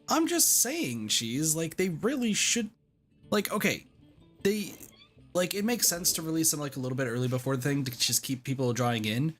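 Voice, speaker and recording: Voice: medium pitch, fairly bright tone. A game streamer chatting casually on a livestream in a blunt, sweary, rambling way, saying "like" a lot. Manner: rambling, repetitive, heavy use of "like". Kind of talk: casual livestream chat over gameplay. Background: video game music.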